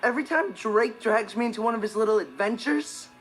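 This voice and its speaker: Man acting an excitable high-voiced American teen boy. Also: brisk, natural voice, low-quality recording. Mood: earnest. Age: teenager. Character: earnest, awkward, excitable teenage boy, wannabe magician. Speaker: sitcom lead. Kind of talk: scripted comedic monologue to camera. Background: music.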